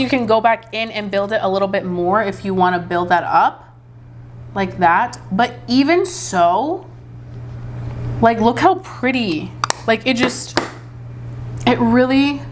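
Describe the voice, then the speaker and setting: A woman's voice: a little high, a little hiss or hum. A woman vlogging a casual makeup review and demo. She talks in a chatty, filler-heavy, enthusiastic way and sounds a bit congested from a recent cold. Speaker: beauty vlogger.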